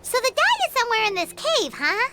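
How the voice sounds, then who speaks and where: A woman voicing a tiny, curious, childlike fairy companion with a squeaky voice in a video game. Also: wildly swooping pitch, brisk, sharp tone, soft background music, heavily acted.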